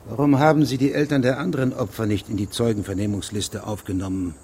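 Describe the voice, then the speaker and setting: A man's voice: on the low side, fairly even intonation, fast, darkish tone, natural voice. Over a noisy background, a man acts as an investigating judge in a radio play. He puts his questions formally and precisely, with methodical persistence and careful, official phrasing. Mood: critical, insistent. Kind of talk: German radio drama acting.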